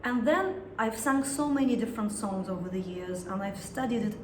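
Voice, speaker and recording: A woman's voice: medium pitch, lively intonation, moderate pace. Russian-accented woman, thoughtful, echoey room. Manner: thoughtful, searching for words, frequent restarts. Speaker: singer and songwriter. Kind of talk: interview conversation. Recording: echoey room with some noise.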